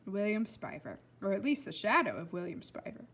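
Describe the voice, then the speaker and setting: A woman's voice: mid-range voice, very animated, a little fast, fairly bright tone. A woman reading a children's story aloud expressively and putting questions to listeners as a teacher.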